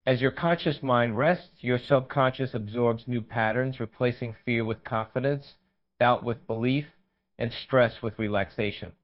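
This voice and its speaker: A man reading a scripted guided meditation in a measured, reassuring, instructional manner. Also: mid-range voice, dull audio.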